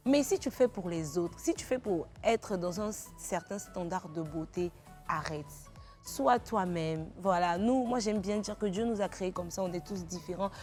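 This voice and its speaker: A woman's voice: on the low side, moderate pace, darkish tone, faint music behind. A woman guest on a talk show speaks emphatically, giving heartfelt advice.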